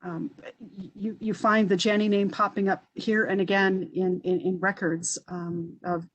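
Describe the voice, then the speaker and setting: Halting woman historian on a video call. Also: mid-range voice, little pitch movement, poor audio. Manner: halting, many restarts and fillers. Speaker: historian guest speaker. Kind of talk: online panel discussion.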